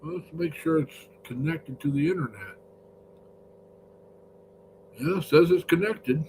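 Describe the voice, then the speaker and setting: A man hosting a casual live stream, his voice deepened by a cold, rambling and greeting viewers by name. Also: lively intonation, measured pace, darkish tone, slight background noise, low-quality recording.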